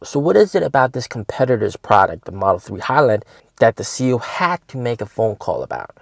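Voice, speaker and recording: A man's voice: medium pitch, sing-song, fairly quick, gravelly, no echo. Casual male car vlogger, dry close sound. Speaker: host of an electric car stock channel. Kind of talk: casual car review vlog.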